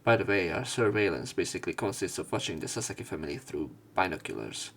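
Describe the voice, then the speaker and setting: Low-voiced man narrating a visual novel. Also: expressive, quick pace, fairly bright tone, faint music behind. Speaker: let's play narrator. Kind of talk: reading visual novel text aloud with commentary.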